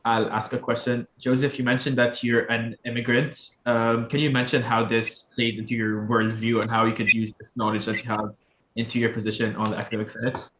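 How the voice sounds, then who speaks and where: A man chairing a student meeting, brisk and procedural. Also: mid-range voice, flat delivery, fairly bright tone, roomy sound, rough recording.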